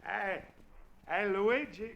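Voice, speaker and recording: A man's voice: sits high, slow, gravelly, dull audio, exaggerated voice. An actor playing a scheming, dramatic older Italian immigrant man in broken English, in the style of 1940s radio comedy. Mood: coaxing. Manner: theatrical, pleading, broken English.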